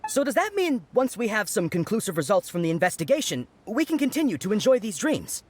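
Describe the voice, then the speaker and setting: A woman plays an everyday townswoman in a video game. Her mid-pitched voice is lively and questioning, with a hopeful air. Faint noise runs behind it. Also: a little fast, natural voice.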